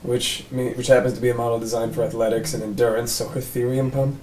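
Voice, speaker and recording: A man's voice: mid-range voice, little pitch movement, fast, a little mellow, close to an everyday voice. A brash male police detective for a film drama, read by an actor. He is cocky, casual and slangy in a mid-range voice. The room echoes, with the mic a little away. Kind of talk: table read of a film script. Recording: echoey room, distant mic, faint noise.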